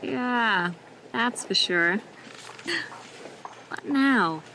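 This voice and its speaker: A woman's voice: a little high, animated, drawn-out words, pushed and bright, a little hiss or hum, heavily acted, low-quality recording. A woman playing a tired, wry hospital worker in an audio drama.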